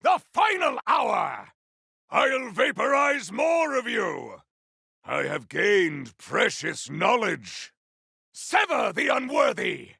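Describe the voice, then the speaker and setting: Casting: zealous video game warrior, rough raspy forceful voice, grandiose archaic delivery, slow and declamatory. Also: male voice, high-pitched, big pitch swings, dry recording, exaggerated voice. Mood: solemn, musing. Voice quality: gravelly, forceful. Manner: theatrical, archaic, declamatory battle cries. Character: bombastic, righteous warrior on a holy quest, zealous and grandiose. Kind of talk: video game combat voice lines.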